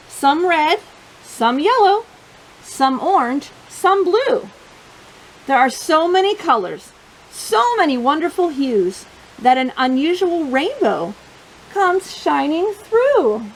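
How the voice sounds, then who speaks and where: A woman hosting storytime for young children, reading and chatting in simple, expressive phrases. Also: sits high, slow, poor audio.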